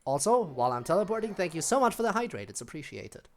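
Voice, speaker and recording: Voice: medium pitch, big pitch swings, fast talker, slight background noise. A game streamer giving casual livestream commentary, full of wry, quippy asides.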